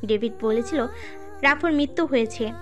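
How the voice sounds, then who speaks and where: A woman's voice: on the high side, normal speed. A woman reading a scripted dramatic audio story as its narrator. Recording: music under the voice. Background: music.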